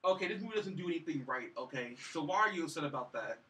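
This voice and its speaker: A man's voice: on the high side, expressive, quick pace, fairly bright tone. A man chatting casually as a film review co-host, rambling and dismissive as he recaps, recorded at a distance from the mic. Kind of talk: casual chat, recapping and mocking.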